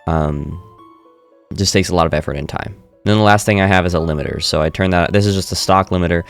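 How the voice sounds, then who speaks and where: A man's voice: low voice, fast talker, soft background music. A man casually walking through his microphone settings in a screen-recorded tutorial, often correcting himself as he goes. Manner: relaxed, self-correcting explainer. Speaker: streamer demonstrating audio software. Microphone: studio dynamic mic through audio interface.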